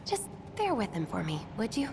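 Playful young rebel, lively pitch. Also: female voice, normal speed, creaky, big-room sound, background noise. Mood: playful, confident. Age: young adult. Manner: playful, teasing, breezy. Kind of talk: dramatic video game voice acting.

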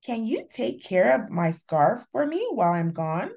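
A woman voicing a gentle, loving mother in a children's picture book, tender and reassuring. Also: mid-range voice, big pitch swings, a little slow, vocal fry.

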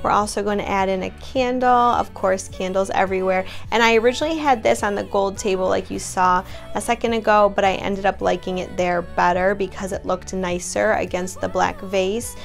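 Young woman, home vlogger, upbeat, chatty, mid pitch, faint music behind. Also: fairly even intonation, moderate pace, vocal fry, few pauses. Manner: upbeat, chatty, addresses viewers directly. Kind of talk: casual voice-over narration.

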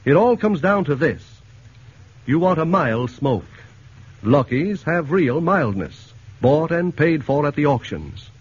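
Male 1940s announcer for sponsor spots, measured and persuasive, husky tone, dull lo-fi radio sound. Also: on the high side, big pitch swings. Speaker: announcer reading sponsor message. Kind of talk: 1940s radio advertising pitch.

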